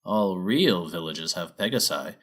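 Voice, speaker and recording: A man puts on an old storybook pony who hauls a wagon. He is folksy and plain-spoken with a wary streak, and his pitch rises and falls freely. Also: expressive, a little slow, fairly bright tone, full character voice. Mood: friendly, bargaining.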